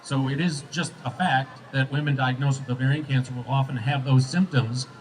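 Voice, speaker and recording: A man with a medium-pitched voice explains things in an interview. He speaks as an expert, laying out his points one after another. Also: steady tone, normal speed, poor audio.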